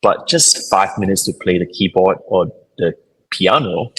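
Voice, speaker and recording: Guest coach, male, Singapore-raised, low and husky, earnest explainer with fillers. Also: a little slow, a bit bright, poor audio. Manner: thoughtful, explanatory, with fillers and non-native grammar. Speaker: podcast guest, business coach. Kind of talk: conversational interview answers.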